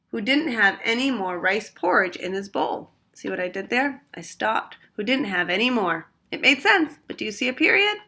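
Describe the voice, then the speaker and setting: A woman reading a picture book aloud for children, pausing to ask listeners questions about the story. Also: medium pitch, sharp tone. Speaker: storytime reader. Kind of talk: read-aloud storytime narration.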